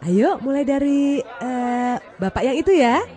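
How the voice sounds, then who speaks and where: A woman playing a genial forum co-host, reassuring and gently wry, with crowd noise behind. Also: sits high, lively intonation, unhurried. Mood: coaxing.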